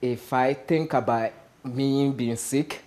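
Nigerian-accented man, animated studio guest. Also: medium pitch, takes it slowly. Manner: animated, gestures a lot, asks "you understand?". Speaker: guest, stand-up comedian. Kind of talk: casual TV studio interview. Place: TV studio set.

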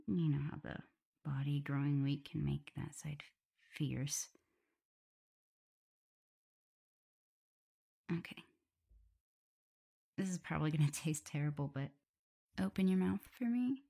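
A woman playing a gentle, playful omega werewolf in an ASMR audio roleplay, talking softly, soothingly and a little hesitantly as she looks after her partner. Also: sits low, moderate pace, vocal fry, long pauses, slightly rough, heavily acted. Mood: tender, caring. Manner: soft, soothing, hesitant, giggly. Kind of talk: soft ASMR roleplay, intimate one-sided dialogue.

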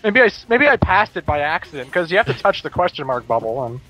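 A man's voice: fairly high pitch, very animated, fast, bright, forceful tone, rough recording. A man co-commentating casually on a video game with friends, explaining how it works. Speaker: gaming co-commentator. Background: video game music.

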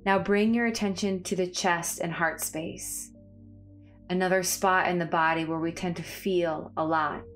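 A woman leading a guided body scan meditation in a calm, soothing, measured way, leaving pauses between phrases. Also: on the low side. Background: background music.

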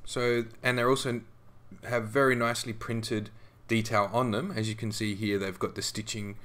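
Man, lowish voice, relaxed hobby tutorial voice-over, chatty with ums. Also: normal speed. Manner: relaxed, conversational, frequent ums and restarts. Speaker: scale modelling tutor.

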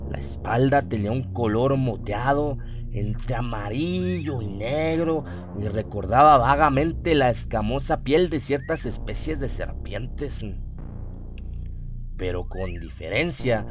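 A man narrating a scripted horror story in a measured, deliberate way, with drawn-out phrasing. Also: mid-range voice, expressive. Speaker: narrator of a horror audio drama. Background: music.

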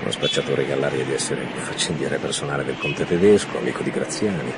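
An actor playing a brooding, dogged police commissioner in a radio drama, reasoning aloud in pensive mutters. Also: male voice, a bass voice, fast talker, vocal fry, heavy background noise. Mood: wry, determined. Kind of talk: Italian radio drama acting.

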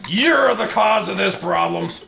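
Wisecracking baby girl comic, played by a man, high-pitched and gravelly, old-school club patter, noisy home-video sound. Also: expressive, a little slow, bright, forceful tone, full character voice. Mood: grumbling, teasing. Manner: old-school nightclub comic delivery with repeated catchphrases. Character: wisecracking baby girl doing a stand-up monologue.

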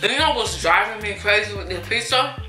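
A young American woman chatting casually and emphatically, with a sassy, expressive manner, as in a vlog storytime. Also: mid-range voice, normal speed, over music. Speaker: vlogger. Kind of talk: casual storytime chat during a mukbang. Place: indoors in a small room at a table. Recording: echoey room.

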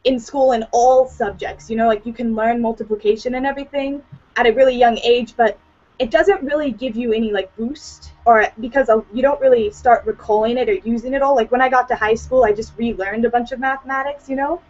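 A woman's voice: fairly high pitch, steady tone, fairly quick, a little mellow. A teenage young woman from Hawaii chatting informally as a guest on a video call, often saying "like" and "you know". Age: teens. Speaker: student guest. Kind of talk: casual video-call chat. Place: small room at home. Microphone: computer on video call. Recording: dull lo-fi video-call audio.

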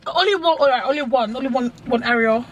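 A woman's voice: high voice, quick pace, dull audio, a little hiss or hum. Playful young woman vlogging in a car. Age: young adult. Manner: playful, sings along to music, laughs often. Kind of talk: casual car vlog chat. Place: inside a parked car. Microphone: dashboard camera. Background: music playing in the car.

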